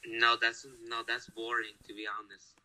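A man chatting while playing a strategy game with a friend, hesitant and halting, restarting his sentences often. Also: mid-range voice, monotone, pushed and bright, poor audio. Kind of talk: casual gaming chat.

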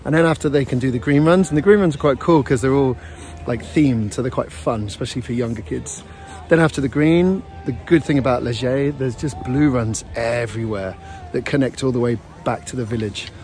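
A man's voice: mid-range voice, brisk, a little mellow. A man talking casually to camera as a ski camp leader, explaining things in an informal, upbeat way. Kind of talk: casual vlog talk to camera. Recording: music behind the voice. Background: music.

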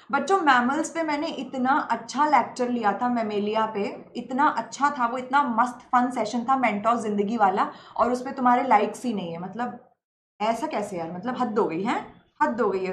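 A woman's voice: sits high, a bit bright. Young woman, sore throat, teasing online teacher, faint hiss in the background. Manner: playful, teasing, mixes English terms into Hindi. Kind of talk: lively live-stream lecture. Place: indoor room, green-screen beach backdrop. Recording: slightly roomy with faint ambient hiss.

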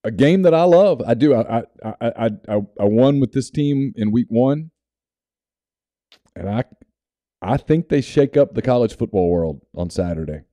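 Dark-voiced man on a podcast mic. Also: mid-range voice, dry recording. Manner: measured, lively intonation, dry humor. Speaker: podcast co-host. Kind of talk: casual sports betting chat. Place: small studio room. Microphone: headphones and studio mic.

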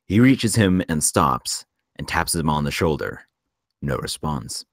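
Deep-voiced male script narrator. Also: sing-song, measured pace, dry recording, clean studio sound. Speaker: narrator of a screenplay table read. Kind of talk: scripted narration of stage directions.

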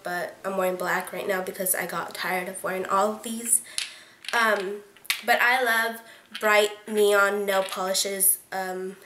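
A woman's voice: mid-range voice, a bit bright, slight background noise. A teenage girl reviewing beauty products in a casual chat to her webcam, rambling with lots of fillers. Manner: chatty, filler-heavy, lots of "like" and "um". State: voice sounds odd to her today. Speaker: beauty vlogger. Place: small bedroom, indoors. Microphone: webcam at close range. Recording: roomy bedroom echo.

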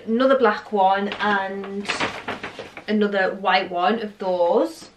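A woman's voice: medium pitch, fairly bright tone, slight background noise. A young woman in her twenties, chatting casually in a clothing haul vlog, rambling with lots of fillers. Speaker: vlogger. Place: living room, seated on sofa.

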